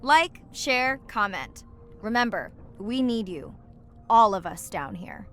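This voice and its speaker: A woman playing a warm, earnest and playful young diver and ocean researcher in a naturalistic video game performance. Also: mid-range voice, very animated, slow, slight background noise, exaggerated voice. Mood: earnest appeal.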